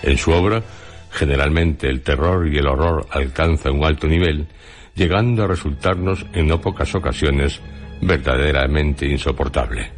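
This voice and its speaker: A man's voice: extremely low pitch, moderate pace. A man narrating a scripted radio documentary in a formal, literary way. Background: music.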